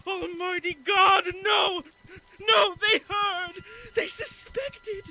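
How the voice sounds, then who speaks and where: An actor playing a mad, guilt-ridden murderer giving a theatrical confession in a gothic horror audio drama, with deliberate, intense delivery. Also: male voice, very high-pitched, steady tone, gravelly, faint music behind, exaggerated voice, rough recording. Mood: frantic, raving. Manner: theatrical, deliberate, swinging between hushed confiding and shrieking.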